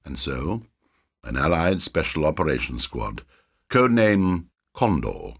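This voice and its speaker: Deep, dramatic male narrator. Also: very animated, unhurried, vocal fry, husky. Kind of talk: dramatic scripted narration for a war game cutscene.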